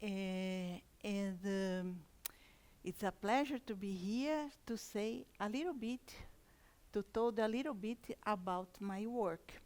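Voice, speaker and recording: A woman with a Brazilian Portuguese accent, a university plant scientist giving a conference talk in careful, halting non-native English with restarts. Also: mid-range voice, a little mellow.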